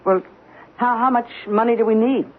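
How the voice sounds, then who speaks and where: A woman acts a fretful but practical young trucker's wife in a vintage radio drama. She speaks gently and questioningly, through a narrow broadcast sound with faint hiss. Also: a little high, steady tone, normal speed, close to an everyday voice. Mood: concerned. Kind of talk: old-time radio drama acting.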